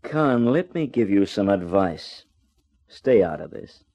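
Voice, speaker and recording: An actor playing a guarded, curt homicide lieutenant in a 1950s radio drama. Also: male voice, fairly low pitch, very animated, takes it slowly, warm tone, exaggerated voice. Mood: warning. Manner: curt, warning, dismissive.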